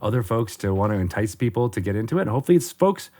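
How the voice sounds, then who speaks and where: Upbeat low-voiced male podcast host. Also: sing-song, very fast, dark tone. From former theater major. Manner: upbeat, rambling, many fillers. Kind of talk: casual podcast chat.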